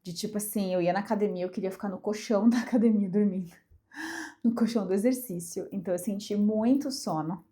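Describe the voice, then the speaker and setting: Young woman, Brazilian accent, soft-edged mellow tone, congested from a cold, casual vlog chat, emphatic repetition. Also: mid-range voice, quick pace. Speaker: vlogger. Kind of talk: casual personal chat to camera.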